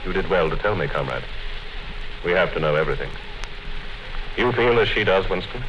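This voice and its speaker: An actor playing a calm, commanding party official in a measured, deliberate 1950s radio drama style. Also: male voice, low-pitched. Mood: stern interrogation. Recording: noisy, dull 1950s radio recording.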